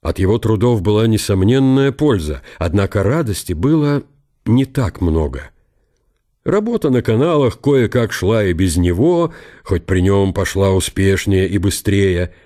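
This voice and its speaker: A man's voice: on the low side, lively intonation, measured pace, mellow. A man narrating a historical radio drama in a dramatised literary style.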